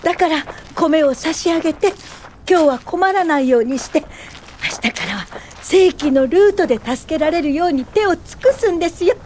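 A woman's voice: very high voice, expressive, moderate pace, darkish tone, a little hiss or hum. A woman playing a determined, compassionate pioneer social worker, earnest and polite, in a radio drama. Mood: passionate.

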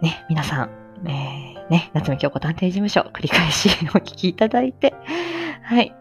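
A woman's voice: deep voice, expressive, normal speed, highs cut off, soft background music. A middle-aged woman hosting a casual livestream from home, chatty, playful and self-deprecating in manner. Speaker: livestream host.

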